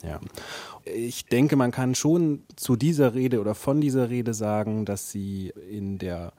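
A man gives reflective interview answers in a mellow, warm voice of middling pitch. He feels his way through his phrases, and the recording is dry and close. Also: a little slow, dry recording. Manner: thoughtful, hedging, searching phrasing. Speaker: interview guest, radio play author.

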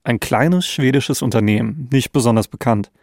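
A man's voice: mid-range voice, moderate pace, gravelly, darkish tone, dry recording, clean studio sound. A man co-hosting an investigative podcast in a conversational narrating style. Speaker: podcast co-host.

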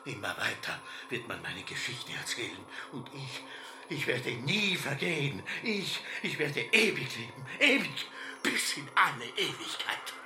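Radio drama: obsessive visionary farmer, swooping exalted delivery, echoing room, music underneath. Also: male voice, medium pitch, very animated. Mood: exalted, grandiose. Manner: halting, repetitive, exalted proclamation. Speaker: actor. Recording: roomy echo under prominent music.

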